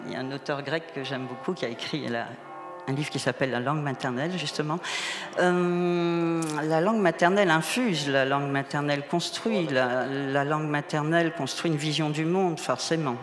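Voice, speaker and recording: A novelist from the Moselle part of Lorraine, whose first language was a Franconian German dialect, talking animatedly on a book-fair panel with lots of repeated words and restarts. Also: female voice, low voice, normal speed, soft background music. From Moselle, eastern Lorraine, France. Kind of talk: round-table discussion at a book fair. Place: public event hall. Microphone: table microphone. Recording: echoey hall with long reverb.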